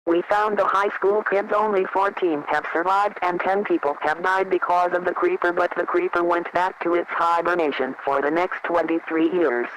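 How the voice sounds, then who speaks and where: A man's voice: high voice, steady tone, moderate pace, few pauses, lightly acted. A man acting as an emergency announcer delivering a news bulletin. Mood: grave report. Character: male emergency announcer reporting survivors and deaths. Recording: loud noisy radio-style ambience.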